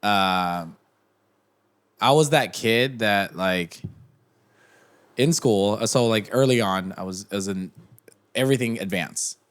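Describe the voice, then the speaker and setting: A young man talking casually as a podcast guest, with a fairly low voice. He rambles, circling back with restarts and filler words as he thinks aloud. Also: slow pace, long pauses. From United States. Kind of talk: casual chat.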